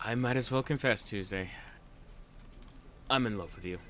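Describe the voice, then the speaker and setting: A man's voice: on the low side, normal speed, crowd noise, natural voice. A man playing a lovelorn, awkward office worker in a comedic audio play, hesitant and earnest. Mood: lovelorn, pleading.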